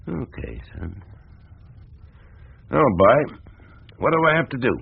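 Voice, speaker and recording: Gravelly older American businessman. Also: medium pitch, sing-song, takes it slowly, full character voice. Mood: wary, curious. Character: gruff older American small-town businessman, wary but game. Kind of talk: radio drama dialogue. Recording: muffled narrowband old radio broadcast.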